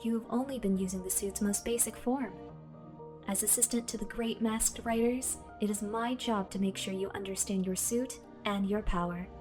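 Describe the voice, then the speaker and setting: A woman voicing a calm, all-knowing female artificial intelligence that lives in a hero's belt, speaking in a measured, formal way. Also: mid-range voice, close to an everyday voice. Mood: solemn. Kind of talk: fan audio drama. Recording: music bed underneath.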